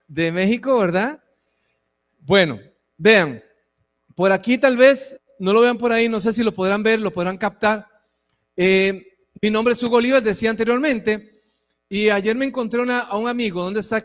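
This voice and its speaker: High-voiced preacher in a reverberant hall. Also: male voice. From Costa Rica. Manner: warm, conversational, with frequent asides. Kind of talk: sermon and church address. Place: church hall.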